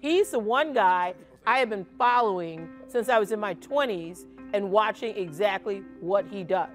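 A middle-aged woman with an American accent talks as an interviewee and teacher. Her pitch is average, with faint music behind her. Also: normal speed. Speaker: youth finance camp director.

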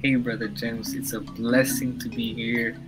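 A man's voice: medium pitch, flat delivery, unhurried, loud music behind the voice. A young man from Rwanda with a Rwandan accent, Kinyarwanda his first language, chatting easily on a headset as a podcast guest, with many fillers. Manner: easygoing, hesitant, many fillers. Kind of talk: casual video-call chat.

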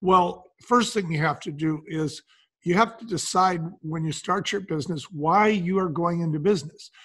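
A man with a fairly high, rough-edged voice talks as an interview guest. He explains business in a folksy, emphatic manner. He often poses a question and then answers it himself. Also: a bit bright. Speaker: guest, business advisor and brand founder.

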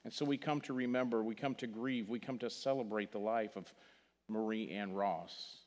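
An older man from Missouri with a Missouri accent, preaching a funeral sermon in a church sanctuary, earnest, repeating words and dropping to a hush on key phrases. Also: on the low side, fairly even intonation, moderate pace. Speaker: pastor. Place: large church sanctuary stage. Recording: long hall reverb from the church.

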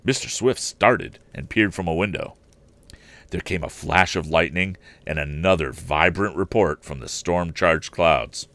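A man's voice: low-pitched, measured pace, fairly bright tone, no room sound. An older man narrating a storybook aloud as a livestream storyteller, close to the mic.

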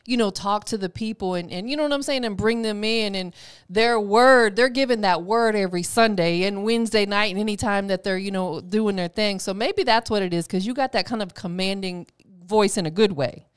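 Middle-aged woman host; smooth, slightly high voice, dry close mic, chatty. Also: no room sound. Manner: chatty, laughs often, says "you know". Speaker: podcast host. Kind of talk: casual interview chat. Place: podcast studio. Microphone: studio mic on boom.

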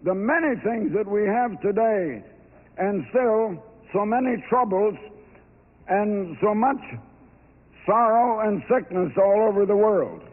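Hoarse old guy in his 80s preaching, slow and emphatic, thin phone-like sound w/ hall echo. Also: high voice, animated. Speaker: evangelist. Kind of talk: preaching speech. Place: large banquet hall. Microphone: podium microphone. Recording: tinny, echoey hall recording.

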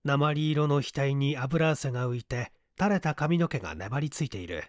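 A man's voice: medium pitch, animated, moderate pace, no room sound, clean studio sound. A man reading a literary mystery story aloud as scripted, dramatic audiobook narration. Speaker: audiobook narrator, stage actor.

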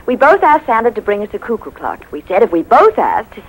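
High, animated, tender young mother. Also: brisk, husky, full character voice, rough recording. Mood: surprised, fond. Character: gentle, affectionate young widowed mother. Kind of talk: 1950s radio drama acting.

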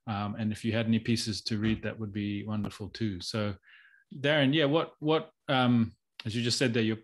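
A man's voice: on the low side, steady tone, moderate pace. A middle-aged man, hosting a casual interview and asking questions in a tentative, meandering way. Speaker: host.

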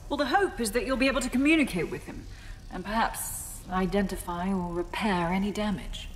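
A woman's voice: medium pitch, a little fast, natural voice. An actress playing a composed, clinical woman scientist in a video game, explaining things with formal detachment. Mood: cool, clinical. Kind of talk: video game cutscene acting. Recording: echoey hall, faint ambient noise.

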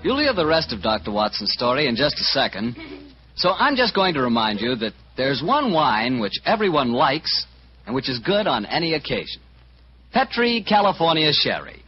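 A man's voice: mid-range voice, sing-song, moderate pace. A man with an American accent announcing a commercial in 1940s radio style. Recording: muffled, noisy old radio recording.

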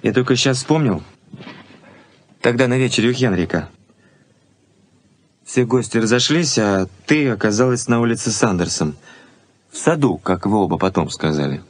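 A man's voice: lowish voice, expressive, moderate pace, long pauses. Earnest young man, radio drama. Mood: suspicious. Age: young adult. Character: principled, possessive young fiancé, earnest and stubborn. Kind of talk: 1980s Soviet radio play acting.

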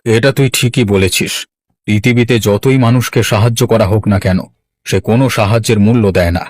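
A man's voice: fairly low pitch, fairly even intonation, a little fast, a little mellow, dry recording, lightly acted. A man voicing a kindly, weary old village landlord in a cartoon. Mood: trusting, resigned. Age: older. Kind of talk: Bangla cartoon voice acting.

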